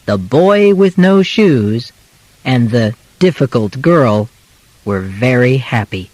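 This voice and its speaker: A man reading a simple scripted biography as an audiobook, in deliberate, clearly separated phrases. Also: mid-range voice, dry recording, low-quality recording. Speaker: audiobook narrator.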